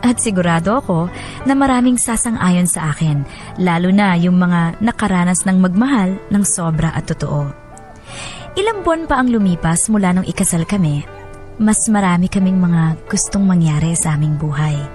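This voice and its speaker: A woman's voice: fairly low pitch, expressive, moderate pace, a little mellow, lightly acted. A woman playing a happily married young wife, narrating her sentimental letter in a confiding way for a radio drama. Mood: wistful, tender. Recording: voice over background music. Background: music.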